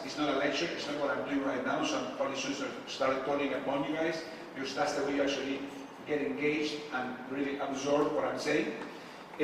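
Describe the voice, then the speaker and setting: A man's voice: mid-range voice, background noise. Man speaking from far off in an echoey hall. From Argentina. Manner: casual, frequent filler pauses, asks the audience questions. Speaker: conference speaker. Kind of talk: live presentation to an audience. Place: event space with a live audience. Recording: echoey hall, distant mic.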